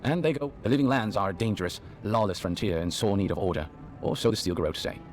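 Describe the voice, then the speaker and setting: An actor playing a learned, earnest archivist who explains lore precisely in a fantasy video game. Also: male voice, lowish voice, fast talker, faint music behind, natural voice. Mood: wry, informative. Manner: bookish, precise. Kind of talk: video game dialogue, expository.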